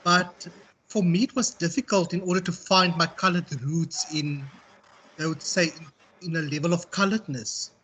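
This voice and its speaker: A South African man speaking as a university tutor in an informal online class, reflective and halting as he circles back to rephrase. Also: fairly high pitch, steady tone, a bit bright, slight background noise, poor audio. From Western Cape, South Africa. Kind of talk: informal online lecture and discussion.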